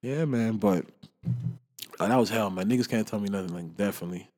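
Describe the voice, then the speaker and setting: A man's voice: fairly low pitch, fairly quick, a little mellow. A man in casual podcast chat, slangy and rambling, who laughs often. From Canada.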